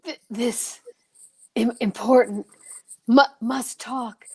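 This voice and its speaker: A woman's voice: fairly high pitch, steady tone, slow pace, vocal fry, dark tone, husky, full character voice. A woman playing a dying man in a radio drama, stammering out urgent broken phrases between gasps. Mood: weak, urgent. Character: dying man gasping out a last urgent plea. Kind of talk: old-time radio drama acting.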